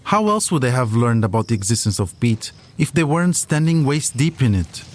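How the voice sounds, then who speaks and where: A man's voice: medium pitch, big pitch swings, brisk. A man delivering scripted documentary narration as the storyteller of a podcast.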